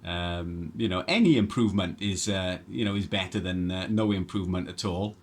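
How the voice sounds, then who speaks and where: A man's voice: low-pitched, normal speed, slight background noise. A man chatting casually as an amateur radio operator, hesitant and rambling as he goes. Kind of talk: casual two-way radio chat.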